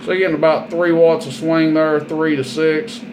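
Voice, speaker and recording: A man with a somewhat high-pitched voice narrates a hands-on equipment demo. He is informal and folksy, talking plainly like a seller showing how a thing works. The recording has a clearly audible steady noise throughout. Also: normal speed. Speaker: product demonstrator and seller.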